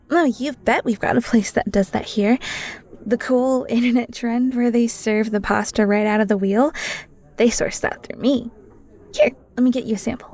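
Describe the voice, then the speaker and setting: High-voiced, playful, flirty cheesemonger played by a woman. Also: creaky, darkish tone. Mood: upbeat, eager. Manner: bubbly, teasing, giggly, breaks into near-whispers. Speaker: audio roleplay voice actor. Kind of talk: intimate flirty audio roleplay, one-sided conversation.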